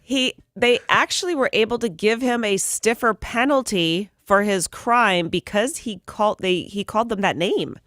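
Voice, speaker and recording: A woman's voice: medium pitch, moderate pace, hardly any pauses, a bit bright, no room sound, studio quality. A woman hosting a radio morning show, telling strange news stories in casual banter with her co-hosts. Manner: storytelling, reads out odd news items with jokes. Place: radio studio. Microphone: studio microphone.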